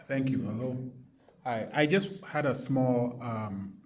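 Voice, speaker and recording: A man's voice: medium pitch, takes it slowly, noisy background. A man from Ghana speaking thoughtfully in a panel discussion, hesitating often with fillers. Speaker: panel participant.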